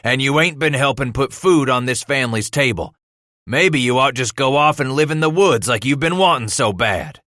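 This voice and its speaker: A man voicing an angry, domineering frontier father in an audiobook, with gruff, stern delivery and rustic, folksy speech. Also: fairly low pitch, normal speed, hardly any pauses, sharp tone, dry recording, studio quality. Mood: furious, thundering.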